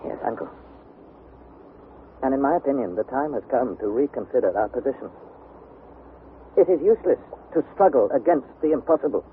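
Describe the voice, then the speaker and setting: An actor playing an earnest, anxious young nephew who narrates and acts in a 1960s radio drama. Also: male voice, medium pitch, animated, a little fast, pauses often, natural voice. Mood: sober, resigned. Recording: tinny, noisy vintage radio recording.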